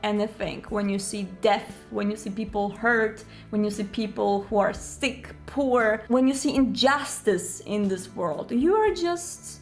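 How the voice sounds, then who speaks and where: A woman presenting advice in an explanatory video style, earnest, with emphatic pauses. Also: medium pitch, soft background music. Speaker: presenter giving roleplaying advice.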